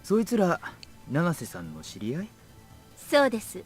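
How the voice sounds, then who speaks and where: A woman's voice: lowish voice, huge pitch swings, fast, breathy edge, soft background music, full character voice. A woman playing a young member of a secret society in a visual novel. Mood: guarded. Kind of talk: Japanese visual novel voice acting.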